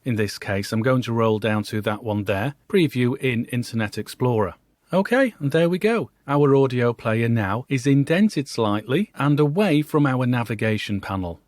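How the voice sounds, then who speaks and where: Man teaching software, very dry close sound. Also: mid-range voice, lively intonation, normal speed, no room sound. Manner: conversational, explains step by step. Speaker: software tutorial instructor. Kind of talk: instructional screen-by-screen walkthrough.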